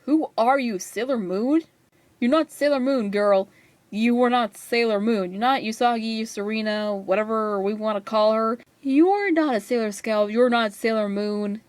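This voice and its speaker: A woman's voice: fairly high pitch, moderate pace, darkish tone, dry recording. A woman giving casual rant commentary as a video maker, with a sarcastic, scolding way of talking.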